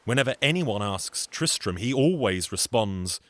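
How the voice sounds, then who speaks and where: A man's voice: fairly low pitch, lively intonation, unhurried, fairly bright tone, no echo. A man telling a folktale as a storyteller, in a dramatic, theatrical narrating style.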